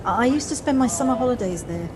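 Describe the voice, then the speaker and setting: A woman's voice: a little high, animated, quick pace, crowd noise, close to an everyday voice. A woman acting a flustered, wistful middle-aged woman in an audio play, with hesitant, reflective speech that repeats words. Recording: noisy home recording on personal device.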